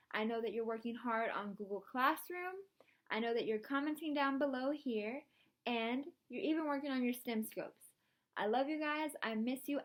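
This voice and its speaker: A woman with a fairly high voice speaks as a schoolteacher to students. Her delivery is expressive and encouraging, suited to storybook reading and simple lessons. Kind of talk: storybook read-aloud and lesson to camera.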